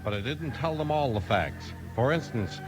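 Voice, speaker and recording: Male radio narrator over loud organ. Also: mid-range voice, animated, normal speed, rough recording. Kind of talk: 1950s radio drama scripted narration. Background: organ music.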